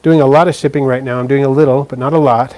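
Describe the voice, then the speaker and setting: Relaxed man hosting a pet live stream. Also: mid-range voice, fast. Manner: relaxed, chatty, with fillers and restarts. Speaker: live stream host answering viewer questions. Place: indoors beside a frog terrarium.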